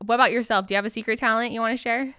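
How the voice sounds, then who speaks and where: Clear-voiced woman, casual interviewer. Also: fairly high pitch, steady tone, rapid-fire, pushed and bright. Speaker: interviewer, host.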